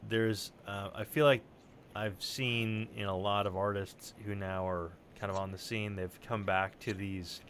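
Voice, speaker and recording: A man chatting casually as a podcast co-host, halting and digressive, with some swearing. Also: lowish voice, steady tone, fairly bright tone, slight background noise. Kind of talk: casual, rambling conversation.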